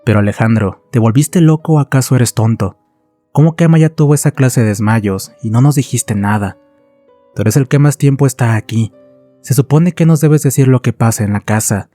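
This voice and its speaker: A man's voice: medium pitch, normal speed, warm tone, dry recording, faint music behind, lightly acted. A man voicing an angry older brother in a horror story, stern and accusing. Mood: angry scolding.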